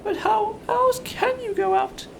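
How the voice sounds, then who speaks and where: A man voicing a small, timid, polite piglet from a children's storybook, in a thin squeaky falsetto with an earnest, hesitant manner. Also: fairly even intonation, unhurried, creaky, slight background noise, exaggerated voice, poor audio. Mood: worried, questioning.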